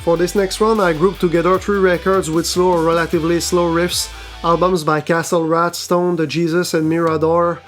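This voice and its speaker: A man reads a scripted news roundup of new metal albums in a steady, level manner. His voice sits a bit high for a man and barely moves in pitch. Faint guitar music plays underneath. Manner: even, measured reading. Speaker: host of a metal news show.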